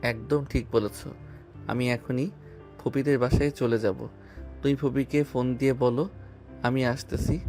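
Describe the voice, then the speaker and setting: A man's voice: mid-range voice, fairly even intonation, unhurried, pauses often, darkish tone, faint music behind, lightly acted. Man acting harassed, pleading younger brother. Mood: agreeing, anxious. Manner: complaining, pleading. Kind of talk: Bengali audio love-story drama.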